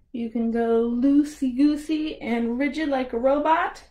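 A woman's voice: fairly high pitch, darkish tone, low-quality recording. An American woman hosting a storytime for young children as a librarian, playful and encouraging, speaking in simple phrases.

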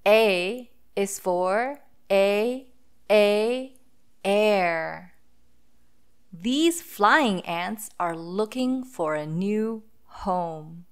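A woman hosting a children's phonics read-aloud, speaking deliberately and sounding out letters and words for young listeners. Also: medium pitch, expressive, clean tone.

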